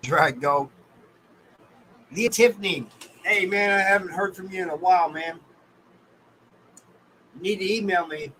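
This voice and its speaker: Casting: American male livestream host, folksy and colloquial, very bright forward voice, some background noise. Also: sits high, measured pace, pauses often, poor audio. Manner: folksy, colloquial, addresses viewers by name. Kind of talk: casual livestream chat with viewers.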